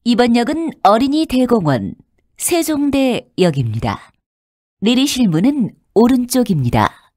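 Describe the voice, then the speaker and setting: Female transit announcer, fairly high and very lilting, formal and polite, recorded dry. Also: big pitch swings, measured pace, darkish tone, no echo. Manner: formal, polite, clearly enunciated. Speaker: subway announcer. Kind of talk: scripted public transit announcement.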